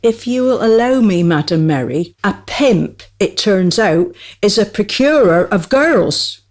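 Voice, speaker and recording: A woman's voice: on the high side, darkish tone. A woman playing a small, witty court jester in a radio play, speaking in a wry, mock-courtly way.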